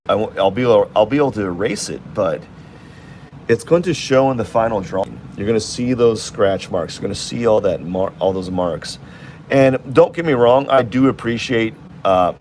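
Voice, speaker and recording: Male artist on a live stream, low voice, loose and rambling, talks through his work as he goes. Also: a little hiss or hum. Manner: rambling, thinking aloud with restarts. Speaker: artist streaming while drawing. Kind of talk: casual live-stream commentary.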